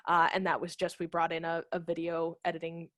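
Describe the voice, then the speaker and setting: Woman with a low, close-sounding voice. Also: monotone, fairly quick, a bit bright, dry recording. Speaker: guest, theatre company managing director. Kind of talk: casual explanatory interview talk. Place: living room at home, video call. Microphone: headset microphone.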